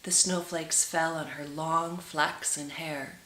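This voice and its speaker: A woman reading a storybook aloud for children as a storyteller, with dramatic, drawn-out emphasis. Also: deep voice, fairly even intonation, pushed and bright. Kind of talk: expressive storybook read-aloud for children, with brief asides. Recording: roomy echo with clear hiss.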